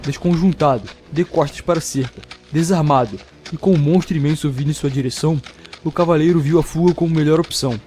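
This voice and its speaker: Higher-voiced Brazilian man narrating fantasy. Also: quick pace, dark tone. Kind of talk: dramatic scripted fantasy storytelling. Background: music.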